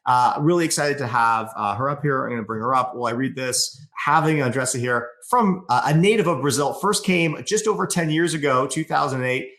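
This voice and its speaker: A man's voice: medium pitch, fairly bright tone. A man hosting an event, introducing a guest casually and enthusiastically with many "uh" fillers. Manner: enthusiastic, with many "uh" fillers and quick "amazing" reactions. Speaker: event host. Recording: echoey room.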